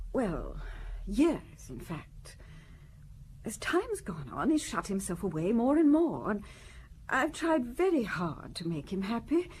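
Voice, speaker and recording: An actress in an audio drama playing a cold, aggrieved upper-class wife with a formal, pointed way of speaking. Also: female voice, fairly high pitch, slight background noise. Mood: anxious, insinuating. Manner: formal, haughty, pointed delivery.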